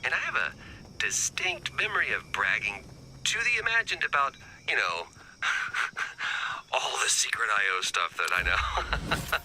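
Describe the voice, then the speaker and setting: A goofy, excitable scientist from a video game. His pitch swoops all over as he rambles in comic, self-deprecating fashion. There is clear ambient noise behind him. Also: moderate pace, heavily acted, poor audio. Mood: sheepish, giddy. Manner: rambling, comic, swings from whispered asides to exclamations. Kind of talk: scripted game character dialogue.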